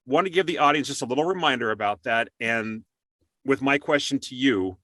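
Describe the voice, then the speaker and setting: Guy hosting a chatty interview show, bright crisp tone, super dry sound, really encouraging. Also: medium pitch, a little fast, no echo. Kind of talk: casual remote interview.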